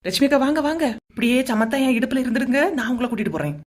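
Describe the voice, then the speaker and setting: A woman's voice: on the high side, expressive, very fast, a little mellow. A woman playing a teasing, bossy young village woman in a comedy cartoon, with a Kanyakumari Tamil accent. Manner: mocking, bossy. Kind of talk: comedy cartoon dialogue in local slang.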